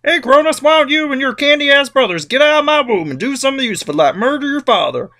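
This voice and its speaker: A shrill, scheming earth goddess, as a male narrator puts her on in a high falsetto. Her lines leap up in pitch and swing wildly, comic and overdone. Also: animated, quick pace, bright, forceful tone, full character voice.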